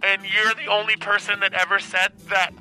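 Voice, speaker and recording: A man puts on a meek radio call-in listener with a high, very sharp, bright voice. The delivery is halting and earnest, full of hesitation. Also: level tone, faint music behind, poor audio. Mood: shy, admiring. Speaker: caller.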